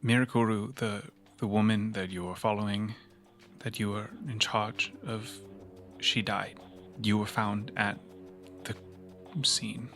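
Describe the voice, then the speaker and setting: A man playing a consoling, remorseful investigator in an improvised cyberpunk tabletop game, earnest and halting. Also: on the low side, level tone, a bit bright, soft background music. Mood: confessing, apologetic.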